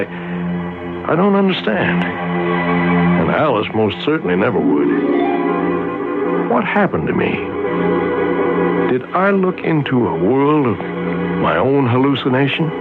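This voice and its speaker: An actor playing a weary, shaken ordinary husband in a vintage radio drama. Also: male voice, mid-range voice. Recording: muffled vintage radio broadcast, music behind.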